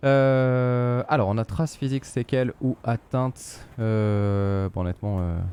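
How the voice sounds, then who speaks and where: A man's voice: medium pitch, steady tone, moderate pace, mellow, clear, resonant tone, faint music behind. A man, a native French speaker, giving casual live gameplay commentary as a streamer. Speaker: gaming streamer.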